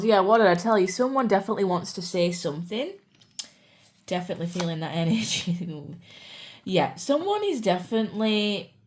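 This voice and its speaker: Chatty woman reading tarot with a sore throat. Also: on the low side. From United Kingdom. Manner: chatty and reassuring, says "you know" and "guys" a lot. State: sore throat, voice cracking at times. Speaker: tarot reader. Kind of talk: pick-a-card tarot reading.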